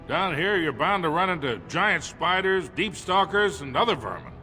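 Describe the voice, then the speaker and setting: An actor voicing a dutiful, officious dwarf commander in a video game, speaking firmly and formally. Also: male voice, sits high, lively intonation, moderate pace, pushed and bright. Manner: formal, firm. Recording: faint music behind.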